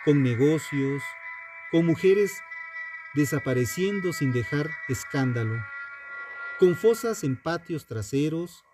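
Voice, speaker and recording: A man narrates a scripted true crime piece in a solemn, dramatic and deliberate manner. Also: a little high. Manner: solemn, dramatic, deliberate phrasing with rhetorical questions. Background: music.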